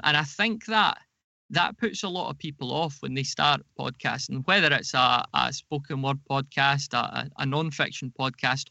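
A man's voice: mid-range voice, vocal fry, pushed and bright, dry recording, dull audio. A man speaking as a podcast guest in an interview, explaining things conversationally, often repeating words and correcting himself.

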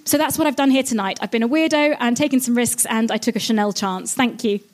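A woman's voice: on the high side, quick pace, very noisy. A woman performing a scripted comic first-person story on stage, dramatic and self-mocking.

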